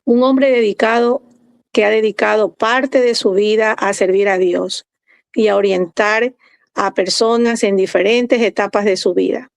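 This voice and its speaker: A woman's voice: medium pitch, fairly even intonation, unhurried, creaky, dry recording. A woman hosting a faith podcast, formal in tone and asking reflective questions with some hesitation. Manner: formal, warm introductions, hesitant fillers. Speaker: host.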